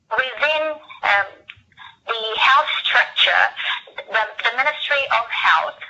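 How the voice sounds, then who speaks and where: A woman from the Philippines with a Filipino and New Zealand accent, explaining a health program to a webinar audience in a measured way. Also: a little high, sharp tone. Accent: Filipino mixed with New Zealand. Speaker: health ministry official. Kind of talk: presentation on a webinar. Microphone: computer mic on a webinar call. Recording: dull lo-fi webinar audio.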